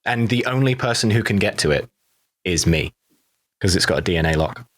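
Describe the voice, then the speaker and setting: A man talks informally as a podcast cast member. His voice is on the low side and recorded very dry, with a casual conversational feel. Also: animated, a little fast, a bit bright, no echo. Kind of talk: casual chat.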